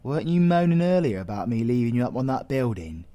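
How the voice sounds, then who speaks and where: A man's voice: medium pitch, sing-song, fast, dark tone, close to an everyday voice. A British man playing a cocky, foul-mouthed supervillain in an audio roleplay, crude, teasing and sarcastic. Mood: grudging. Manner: crude, teasing, sarcastic, heavy slangy swearing. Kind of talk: audio roleplay acting addressed to the listener.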